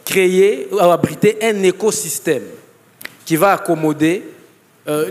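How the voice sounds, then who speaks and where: A man gives formal, technical remarks as an academic on a panel. His voice is on the high side, and it carries the long echo of a large hall. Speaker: panelist, professor. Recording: reverberant conference hall.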